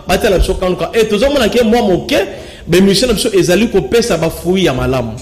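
A man's voice: high-pitched, expressive, quick pace, a little mellow, a little hiss or hum. A young man with a Congolese accent, speaking French mixed with Lingala as a guest in a TV studio, gesturing and often restarting his sentences. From Kinshasa, Congo. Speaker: studio guest, local resident. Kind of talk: studio interview, animated talk. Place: TV studio with screen backdrop.

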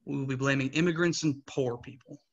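A young man voicing a weary, disillusioned male investor, with quiet, resigned delivery at a table read over a video call. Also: medium pitch, fairly even intonation, fairly quick, close to an everyday voice. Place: small home room. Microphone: webcam on a video call. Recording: slightly muffled webcam audio.

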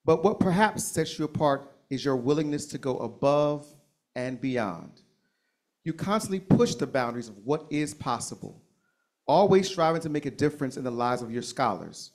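A man delivers a formal tribute at a school board meeting, emphatic and ceremonious. His pitch sits in the middle range, and his pace is measured and deliberate. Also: animated, darkish tone. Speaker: school district speaker. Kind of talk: formal prepared speech.

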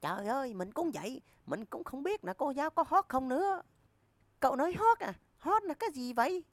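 A woman's voice: high voice, very animated, a little fast, dry recording. A woman voicing cheeky young schoolchildren in a crude comedy cartoon, with exaggerated delivery. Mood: curious.

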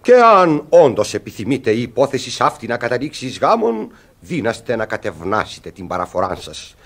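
An officious commissioner in a classic radio farce. He speaks at a middling pitch with pompous, pedantic formality, as if every sentence were a legal decree. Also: very animated, fairly bright tone. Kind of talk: theatrical radio comedy acting.

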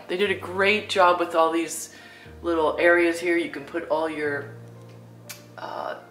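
A woman's voice: lowish voice, fairly bright tone. A woman giving a casual, admiring and exclamatory walkthrough of a house as a realtor, recorded indoors on a handheld camera. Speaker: realtor showing a home for sale. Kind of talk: casual walkthrough home tour narration. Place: indoors, inside a furnished house.

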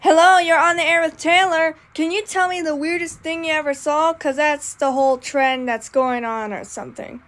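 A woman's voice: sits high, fairly even intonation, normal speed, few pauses, fairly bright tone. A woman playing a polite, slightly bored call-in radio host in a video game.

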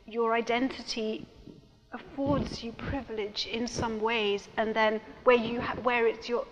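A woman's voice: fairly high pitch, big-room sound, highs cut off, a little hiss or hum. A woman speaking on a panel, searching for words with many fillers and restarts. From Pakistani heritage. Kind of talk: panel discussion.

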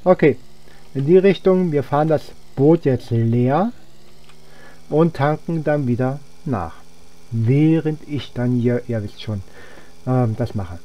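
Young man with a dark, mellow voice. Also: mid-range voice, slow pace. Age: young adult. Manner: rambling, thinking aloud. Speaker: let's player. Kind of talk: casual gameplay commentary.

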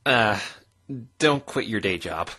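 A woman's voice: extremely low pitch, expressive, slow pace, fairly bright tone, exaggerated voice. A woman voicing an earnest, easily flustered young male defense lawyer in a visual novel dub, stammering at times. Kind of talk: visual novel fandub acting.

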